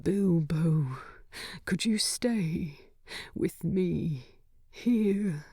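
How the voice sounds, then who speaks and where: A woman voicing a lovestruck male dwarf king in a storybook, with a gruff tenderness and breathy murmurs. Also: on the low side, drawn-out words, mellow, no room sound, clean studio sound, exaggerated voice. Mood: breathless longing.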